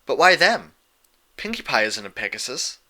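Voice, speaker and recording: Man as an inquisitive, bright-voiced unicorn mare. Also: medium pitch, very animated, moderate pace. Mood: puzzled. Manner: questioning, precise. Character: earnest, inquisitive young female bookish unicorn pony.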